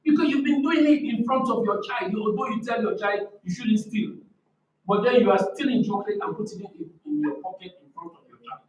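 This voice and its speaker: A man with a loud, forceful voice giving an emphatic, didactic motivational talk at a conference, repeating key phrases and asking rhetorical questions. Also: high voice, fairly quick, highs cut off, slight background noise. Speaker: conference speaker on leadership. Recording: echoey room, distant microphone.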